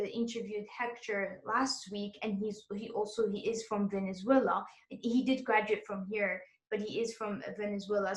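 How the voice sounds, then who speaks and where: Chatty woman host in an echoey room. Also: medium pitch. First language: Arabic and French. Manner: chatty, encouraging, laughs often. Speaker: interview host and exam tutor. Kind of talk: casual interview chat.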